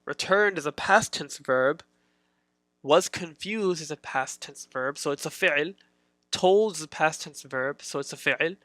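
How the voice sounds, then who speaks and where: A man's voice: a little high, measured pace, pushed and bright, dry recording. A man teaching a beginner grammar lesson in a casual, patient way, repeating his points with many fillers.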